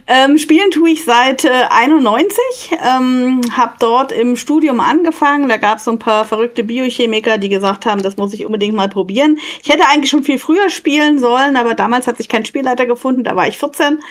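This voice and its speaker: A woman's voice: on the high side, brisk. A middle-aged woman from Germany, a professor, answering interview questions thoughtfully with many fillers. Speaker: guest professor. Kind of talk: casual interview.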